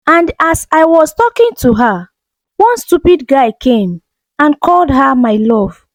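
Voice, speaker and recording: Woman voicing an insistent jilted man, slow and expressive. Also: sits high, no echo, low-quality recording. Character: jilted young man, pleading, obsessive and angry.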